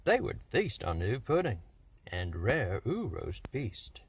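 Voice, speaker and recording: Guy reading a rhyming storybook, fake british-aussie accent, really animated and unhurried, faint noise behind. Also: fairly low pitch, creaky, slightly rough. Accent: put-on British-Australian. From United States. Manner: theatrical, drawn-out emphasis. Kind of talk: dramatic rhyming storybook narration.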